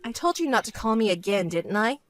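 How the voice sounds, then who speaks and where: A woman's voice: a little high, animated, fairly quick, soft background music, natural voice. A woman voicing a stern, fed-up sister with a grudge in a dramatized story, cold, firm and scolding. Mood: unsympathetic.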